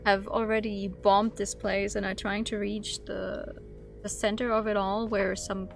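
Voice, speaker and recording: A woman's voice: medium pitch, level tone, unhurried, vocal fry. Casual woman streamer with music behind. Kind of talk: livestream game commentary, casual chat with viewers, reading game text aloud. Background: soft background music and game audio.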